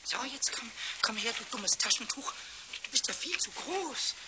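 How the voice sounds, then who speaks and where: A man voicing a cheeky, childlike little kobold in a 1970s children's radio play, squeaky and stammering with excitement. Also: normal speed, heavy background noise. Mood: busy grumbling. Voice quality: squeaky, shrill, piping.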